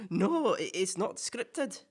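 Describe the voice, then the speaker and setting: Casting: male actor as nervous, deferential podcast presenter for comic audio drama, lively swooping delivery, eager to please. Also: very high voice, husky, heavily acted. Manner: hesitant, apologetic, nervously deferential. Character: flustered, polite, eager-to-please male celebrity podcast host. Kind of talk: comic audio play acting.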